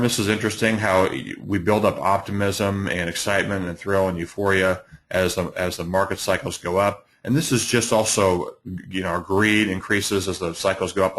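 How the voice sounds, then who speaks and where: A middle-aged man, slightly hoarse, hosting a live trading webinar with many fillers and restarts. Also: deep voice, fairly even intonation, moderate pace, a bit bright. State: hoarse from allergies. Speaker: trading educator, webinar host.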